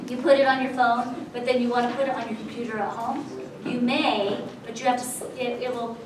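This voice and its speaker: A woman's voice: on the high side, steady tone, brisk, background noise. A woman informally explaining procedures to colleagues as a staff trainer. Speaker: staff trainer answering questions. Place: large room at a school faculty meeting. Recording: very echoey room, distant mic, audience murmur.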